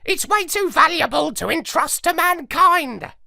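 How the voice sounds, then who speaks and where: A man's voice: very high-pitched, expressive, unhurried, vocal fry, sharp tone, dry recording, clean studio sound, voice pushed up, heavily acted. A man voicing a bossy, scornful parrot major with a squawky voice and a sneering manner for a children's story. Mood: secretive. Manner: sneering, insulting.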